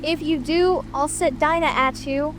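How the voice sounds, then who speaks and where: A woman's voice: high voice, fairly even intonation, a little slow, background noise. A woman playing a curious, plucky, indignant young girl talking decidedly to herself in a dramatic audiobook. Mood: defiant. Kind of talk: dramatic audiobook character acting. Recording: music and rain ambience behind.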